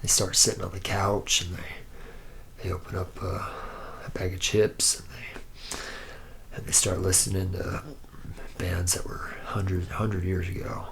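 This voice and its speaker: A man's voice: flat delivery, measured pace, a little hiss or hum. A man playing a jaded, boozy factory worker in an ASMR roleplay, rambling in a hesitant, sardonic drawl that often drops toward a whisper. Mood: laid-back, sly. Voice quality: gravelly, low, partly whispered.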